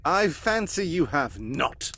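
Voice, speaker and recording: A performer playing a self-assured, probing detective in a live old-time radio mystery, with a pompous, deliberate delivery. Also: medium pitch, very animated, fairly bright tone, a little hiss or hum, full character voice. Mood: probing, grave. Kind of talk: live 1940s radio mystery acting with comic ad-libs.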